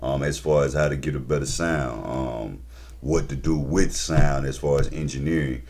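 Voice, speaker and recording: A man's voice: very deep voice, steady tone, normal speed, darkish tone. A man in his thirties, a rapper and label owner, talking casually and laid-back in an interview, with slang and lots of fillers. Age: 30s.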